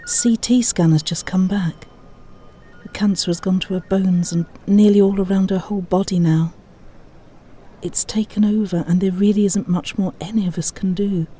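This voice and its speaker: A woman playing a sombre, caring grandmother in an audio drama, grave and measured, with faint noise behind her. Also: medium pitch, fairly even intonation, dark tone, breathy edge, natural voice.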